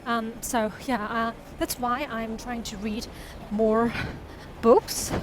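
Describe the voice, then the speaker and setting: High-pitched woman, native Cantonese speaker. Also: steady tone, slow, noisy background. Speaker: vlogger and novelist. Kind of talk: casual vlog talk.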